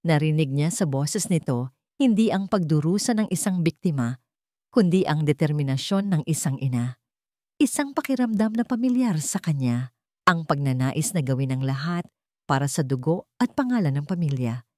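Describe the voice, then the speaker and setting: Casting: female narrator for dramatic love stories, slightly low pitch, soft dark tone, scripted read. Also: animated, moderate pace, dry recording, clean studio sound. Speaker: story narrator.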